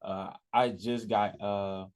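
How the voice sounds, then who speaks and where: A man's voice: fairly low pitch, steady tone, slow pace, a little mellow, clear, resonant tone. A young man in his early twenties from Richmond, Virginia, a college athlete answering a radio interviewer in a casual, rambling way with many fillers and restarts. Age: 20s.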